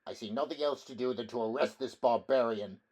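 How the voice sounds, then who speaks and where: A man doing a gruff, roaring city prefect. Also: mid-range voice, fairly quick, darkish tone, close to an everyday voice. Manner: roaring, scornful.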